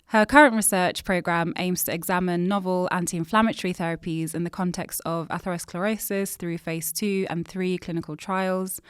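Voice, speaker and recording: A woman's voice: lowish voice, clean tone, no room sound, studio quality. A woman hosting a science podcast, reading a scripted introduction and then chatting with her guest in an upbeat, enthusiastic way. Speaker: podcast host.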